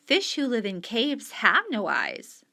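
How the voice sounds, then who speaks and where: A woman's voice: a little high, wildly swooping pitch, takes it slowly, vocal fry, bright, forceful tone, voice pushed up, full character voice. A woman reading aloud and voicing a curious young boy who keeps asking questions in a story. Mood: questioning. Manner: persistent, questioning.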